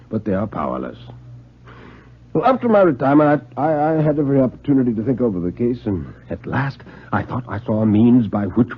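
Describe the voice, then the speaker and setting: A man voicing a genial, scheming old retired British police knight, theatrical and deliberate, in a radio drama. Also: medium pitch, breathy edge. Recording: muffled 1950s radio recording.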